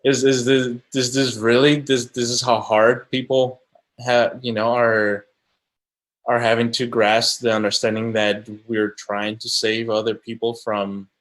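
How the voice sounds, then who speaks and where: A man's voice: medium pitch, level tone, a little slow, fairly bright tone, poor audio. A man from Colombia, a guest on an interview podcast, speaking in a casual, thoughtful way with many halts and restarts. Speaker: guest camera assistant.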